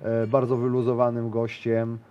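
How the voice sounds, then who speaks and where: A man's voice: mid-range voice, completely flat delivery, moderate pace, dull audio, slight background noise. Rambling man in his thirties. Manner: rambling, many fillers and restarts. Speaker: grappler and interview guest. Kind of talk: casual interview chat. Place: small studio with a decorated wall.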